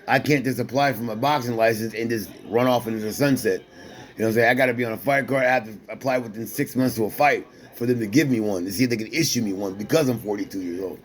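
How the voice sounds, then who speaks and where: A man in his forties, a boxer, speaking at an ordinary middle pitch. He rambles in a loose, streetwise way, looping back on himself and restarting his sentences as he talks to a companion. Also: fast. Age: 40s. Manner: loose, repetitive, streetwise slang with frequent restarts. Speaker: amateur boxer. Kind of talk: casual rambling talk to a companion.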